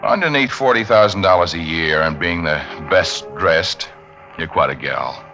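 An actor playing a suave, earnest writer with a smooth, persuasive manner, in mid-century radio suspense style. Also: male voice, sits low, animated, normal speed, pushed and bright, heavily acted. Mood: smooth coaxing. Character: suave, earnest male writer placating a scorned lover. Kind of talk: 1940s-50s radio suspense acting. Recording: vintage radio drama with music.

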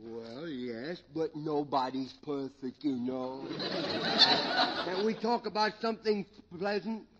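Casting: foolish, amiable drunk for vintage radio comedy, high drawling slurred voice, muffled and very noisy recording. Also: male voice, slow. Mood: tipsy, rambling. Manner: rambling, drawling, chuckling to himself. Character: tipsy, foolish, good-natured drunkard man. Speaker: comic interviewee. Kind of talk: old-time radio comedy sketch.

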